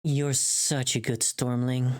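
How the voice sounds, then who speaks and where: A streamer voicing a doting caretaker who gives gentle praise in a slow, tender way. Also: mid-range voice, little pitch movement, lightly acted. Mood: tender, praising. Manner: slow, drawn-out tender delivery.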